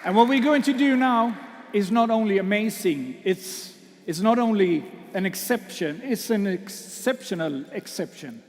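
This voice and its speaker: High-voiced man hosting an awards ceremony in a hall. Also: expressive, a faint crowd. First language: not English. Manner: joking, self-deprecating, halting fillers. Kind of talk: speech to an audience. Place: large hall. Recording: long hall reverb.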